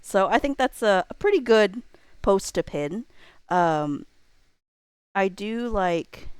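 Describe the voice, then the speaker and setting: A woman's voice: mid-range voice, expressive, smooth, no room sound. A woman livestreamer chatting casually with her viewers, rambling and thinking aloud in a playful way. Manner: playful, quick to laugh. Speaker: livestreamer and host reading chat.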